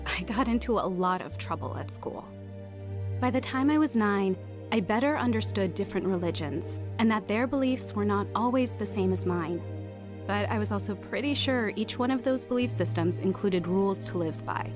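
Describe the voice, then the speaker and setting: A woman acts a young woman looking back on secretive, troubled teen years. It is scripted audio drama narration in a medium-pitched voice, with clear music behind. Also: lively intonation, normal speed, natural voice. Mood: reflective. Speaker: narrator and lead actress.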